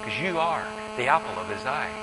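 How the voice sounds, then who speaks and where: A man with a Northern Irish accent preaching a sermon, putting rhetorical questions and moving between emphatic rises and quieter asides. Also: mid-range voice, sing-song, brisk, heavy background noise. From Northern Ireland. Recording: echoey hall with long reverb.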